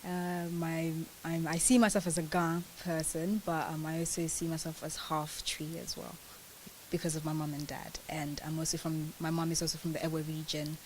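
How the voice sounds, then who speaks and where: A woman's voice: deep voice, flat delivery, moderate pace, darkish tone. A woman in her twenties from Ghana, chatting casually as she answers questions as an interview guest. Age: 20s. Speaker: interview guest, pageant winner. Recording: clearly hissy, noisy sound.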